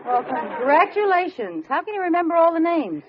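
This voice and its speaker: A woman's voice: very high voice, very animated, a little fast. A woman playing a feisty, melodramatic American housewife with wry, theatrical delivery in a vintage radio sitcom. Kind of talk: 1940s-50s radio sitcom acting. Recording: muffled old radio recording, live audience.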